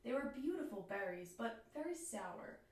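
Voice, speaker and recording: A woman reads a picture book aloud in a very echoey room, a little away from the mic. She sounds like a teacher with young listeners, phrasing each line expressively and deliberately. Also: a little high, big pitch swings, darkish tone. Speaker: teacher reading aloud to children. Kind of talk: children's storybook read-aloud. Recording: echoey room, distant microphone.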